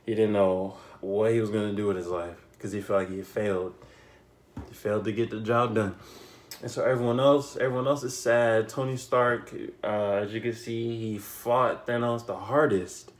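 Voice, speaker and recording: A young man giving a casual, unscripted movie recap, telling it in an animated way with repeated phrases and slangy asides. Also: lowish voice, normal speed. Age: young adult. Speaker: YouTube film reviewer. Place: small bedroom, indoors.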